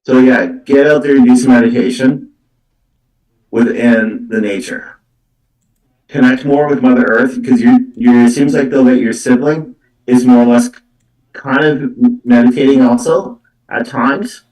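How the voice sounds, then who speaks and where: A man giving a live spiritual card reading as a psychic medium, speaking in halting, repetitive phrases. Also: medium pitch, steady tone, darkish tone, far from the mic. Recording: roomy, echoey, away from the mic.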